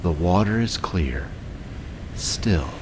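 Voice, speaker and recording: A man with a low-pitched voice leads a guided meditation over clearly audible ambient noise. He reads scripted lines very slowly and in a measured way, leaving long pauses between short phrases. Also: animated.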